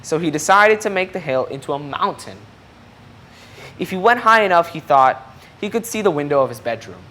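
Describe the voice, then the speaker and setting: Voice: medium pitch, lively intonation, normal speed, pushed and bright. A person reading a children's picture book aloud expressively, explaining things and putting questions to young listeners. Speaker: storybook reader.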